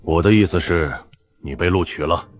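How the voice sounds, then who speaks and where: An actor playing a stern, authoritative film director who speaks in curt commands in an animated drama. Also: male voice, sits low, normal speed, natural voice. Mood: measured, authoritative. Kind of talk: dramatic character dialogue.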